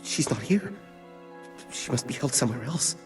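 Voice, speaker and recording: An actor playing a frightened, flustered captive in a medieval video game. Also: male voice, medium pitch, lively intonation, normal speed, darkish tone, soft background music, natural voice. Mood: anxious. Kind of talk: dramatic video game cutscene acting. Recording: distant from the mic.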